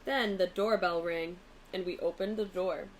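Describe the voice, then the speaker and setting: A woman narrating a children's storybook aloud in an expressive, deliberate way. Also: fairly low pitch, a little hiss or hum, low-quality recording. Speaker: teacher reading a picture book aloud.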